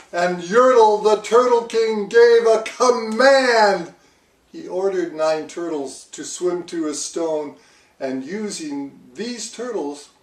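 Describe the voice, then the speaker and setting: Older male storybook reader: expressive, deliberate delivery, high register, slightly off mic. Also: echoey room, distant mic. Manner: dramatic, deliberate. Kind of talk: expressive children's storybook reading.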